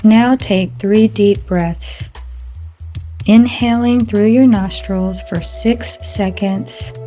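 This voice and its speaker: A woman's voice: mid-range voice, fairly even intonation, darkish tone. A woman narrating a hypnotic guided meditation in a soothing, instructional way, as a guide leading the listener. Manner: slow, soothing, instructional delivery. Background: background music.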